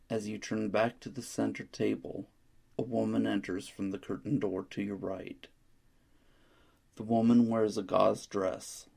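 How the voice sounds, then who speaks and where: A man with a deep-set, fairly dark voice reads a guided meditation in a calm, measured way. His delivery stays very even and soothing, with a husky, gravelly grain to it. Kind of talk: slow scripted guided meditation narration.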